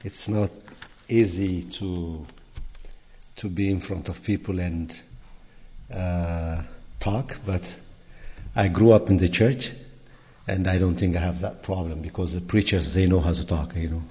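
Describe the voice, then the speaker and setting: An older man with an Ethiopian accent speaks in a deep voice at a slow pace. His church talk is informal and halting, sprinkled with small conversational asides, and it echoes in a reverberant hall. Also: fairly even intonation, dark tone, lo-fi sound. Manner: halting, conversational, frequent "you know". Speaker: guest speaker, church elder. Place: large indoor church sanctuary.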